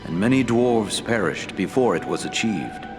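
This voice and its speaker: An actor playing a wise, ancient elf lord in a fantasy game, speaking in a measured, formal way. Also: male voice, lowish voice, expressive, music in the background, natural voice. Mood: grave counsel. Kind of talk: scripted fantasy game dialogue.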